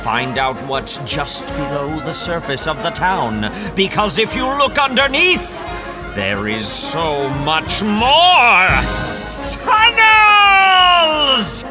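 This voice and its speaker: Casting: dramatic retro radio announcer, unhurried, big pitch swings, emphatic scripted delivery. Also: big pitch swings, over loud music. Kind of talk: theatrical old-time radio announcing.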